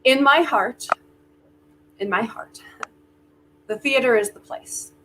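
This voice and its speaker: Casting: woman as genial, boastful older magnate, stagey and persuasive, unhurried delivery, comic play reading, faint noise on the line. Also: a little high. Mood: wistful, boastful. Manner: theatrical, coaxing, wry. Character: genial, self-important aging theater-loving tycoon bargaining with Death. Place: remote virtual reading. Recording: noisy online video call audio.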